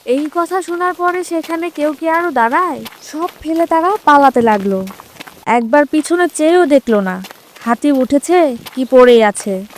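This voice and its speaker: A woman's voice: high voice, animated, unhurried, a little hiss or hum. A woman narrating a scripted children's fable as a storyteller.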